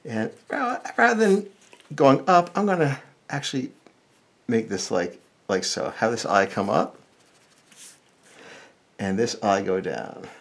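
A man's voice: mid-range voice, lively intonation, takes it slowly, creaky, pauses often. A middle-aged American man giving a casual step-by-step drawing lesson, thinking aloud informally as he works. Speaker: cartoonist, drawing instructor.

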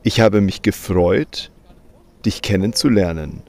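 A man teaching German grammar in a slow scripted voice-over, reading example sentences and repeating them. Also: sits low, gravelly, a little mellow.

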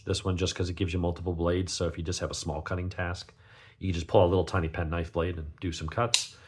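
Male reviewer, low husky gravelly voice, stuffed up with a cold, talking casually and off the cuff. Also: level tone, fast. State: sniffly with a cold. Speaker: knife reviewer, channel host. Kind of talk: casual unscripted product review.

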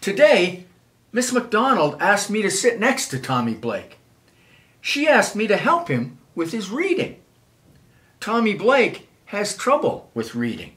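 An older man reads a children's storybook aloud in an expressive, measured way. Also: high-pitched. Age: older, 70s. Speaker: children's author reading own book. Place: small wood-panelled room, indoors.

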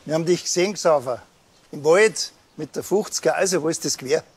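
An actor playing a sardonic, unruffled village policeman, deadpan and dry, in a comic audio drama. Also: a little high, brisk, husky.

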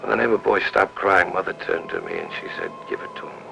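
A jealous, brooding husband in an old film melodrama. His voice is very low and thick with gravel, slow and hushed to near a whisper. Music plays behind him on the old soundtrack. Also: wildly swooping pitch, highs cut off, full character voice. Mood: brooding, menacing. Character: bedridden, brooding, menacingly possessive jealous husband. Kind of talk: 1950s film melodrama acting.

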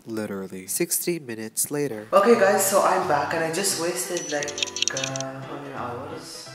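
Teen boy, Arabic accent, rambling and goofy, boomy echoing room, music behind. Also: lively intonation, moderate pace. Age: teens. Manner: goofy, rambling, self-mocking. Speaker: YouTuber. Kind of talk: casual DIY vlog. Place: small room, indoors. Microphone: camera mic at close range.

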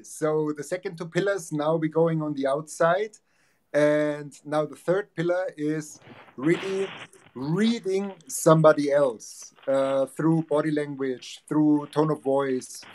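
A man's voice: fairly high pitch, a little mellow, rough recording. A man in his forties with a German accent, earnestly explaining his ideas as a guest on a video call. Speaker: guest, relationship coach. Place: home office with bookshelves.

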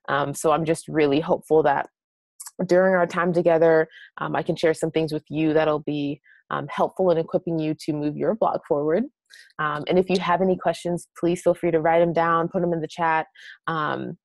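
Low-pitched American woman with some creak. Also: no echo. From New Hampshire, United States. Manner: chatty, encouraging. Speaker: guest presenter, blog strategist. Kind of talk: casual webinar presentation.

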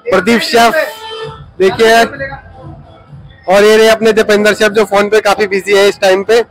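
A man's voice: sits high, little pitch movement, moderate pace, poor audio. A man giving a casual, informal vlog walk-through as a chef, introducing and pointing things out. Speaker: chef vlogging his hotel restaurant. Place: large indoor hotel banquet restaurant. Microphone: handheld phone behind the camera. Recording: music behind, handheld phone in busy venue. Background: loud music.

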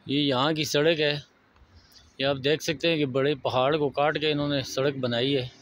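A man with a Pakistani Urdu accent, walking a mountain road and calmly pointing out sights to his viewers. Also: on the high side, slight background noise. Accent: Pakistani Hindko-area Urdu. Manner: unhurried, pointing things out to viewers. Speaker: travel vlogger. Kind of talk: casual walking tour narration.

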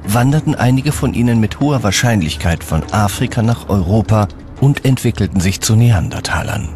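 A man reading scripted documentary narration in a measured, serious way. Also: fairly low pitch, expressive, background noise. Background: background music.

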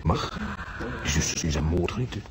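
A man's voice: extremely low pitch, animated, brisk, heavy background noise. An actor in a radio play playing a casual, slangy hospitalised husband who soothes his wife. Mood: reassuring.

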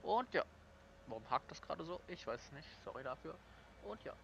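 A young man with a slight cold casually commenting on a game he is playing, chatty and quick to correct himself and apologize. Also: a little high, vocal fry, lo-fi sound, heavy background noise. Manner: relaxed, chatty, frequent self-corrections and apologies. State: slightly congested with a cold, clears throat. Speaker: gaming channel host. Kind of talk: casual let's-play commentary.

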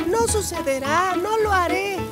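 A woman's voice: extremely high pitch, expressive, measured pace. A woman voicing a small, stubborn, grumpy pet animal in a children's cartoon. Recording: music behind the voice.

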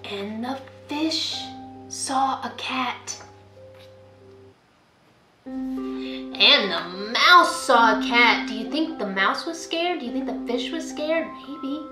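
A young woman, a school librarian, reading a picture book aloud to children in an expressive, sing-song way. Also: on the high side, slow, long pauses, echoey room, over music. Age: young adult. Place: indoor room at home, by a window.

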